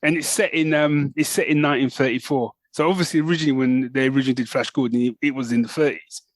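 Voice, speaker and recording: A man's voice: medium pitch, steady tone, fast, no echo. A man co-hosting a podcast, chatting casually and rambling with restarts. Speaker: podcast co-host. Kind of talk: casual chat.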